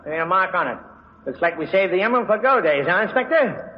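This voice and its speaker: An actor playing a slow-witted, plaintive police underling with uneducated street grammar, in 1940s radio style. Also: male voice, high-pitched, animated. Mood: eager. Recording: dull noisy old radio recording.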